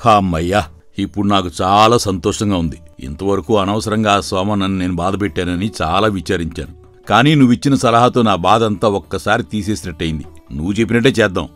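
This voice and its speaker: Man acting a deep-voiced stern village father. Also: brisk, a bit bright, soft background music. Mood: relieved, glad. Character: stern hot-tempered father and farm master, later remorseful. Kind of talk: animated moral story acting.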